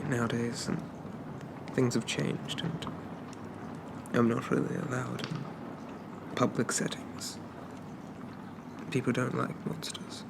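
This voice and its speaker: A man playing a shy, polite undead zombie in a soft ASMR roleplay, stammering and hesitant in a gently formal way. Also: medium pitch, vocal fry, heavy background noise.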